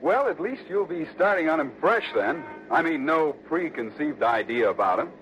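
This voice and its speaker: An eager young insurance agent. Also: male voice, fairly high pitch, expressive, normal speed, a bit bright, a little hiss or hum. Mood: evasive. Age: 20s. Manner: chummy, then evasive and hesitant. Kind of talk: 1960s radio drama acting. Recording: dull old radio broadcast.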